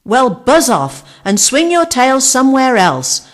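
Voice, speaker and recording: A woman's voice: sits high, very animated, a little slow, pitched above the performer's own voice. A woman voicing a grumpy, rude male archaeopteryx who scolds and snaps.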